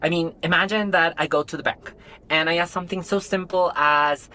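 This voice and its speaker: A man's voice: fairly high pitch, steady tone, fairly quick, pushed and bright, low-quality recording. A man playing an exasperated bank IT worker in a podcast drama, explaining things insistently. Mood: indignant. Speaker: actor in an audio drama. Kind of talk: acted podcast drama dialogue.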